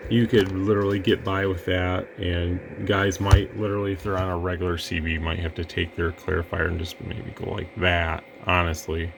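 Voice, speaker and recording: A man testing radio gear in a relaxed, rambling way, breaking into mic checks and counting. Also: deep voice, normal speed, gravelly, hardly any pauses, noisy background. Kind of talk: casual product demo.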